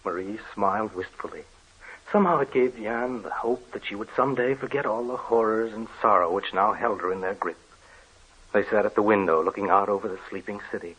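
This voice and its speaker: A man narrating an old radio horror drama in a grave, measured way. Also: fairly low pitch, fairly bright tone, slightly rough, lo-fi sound.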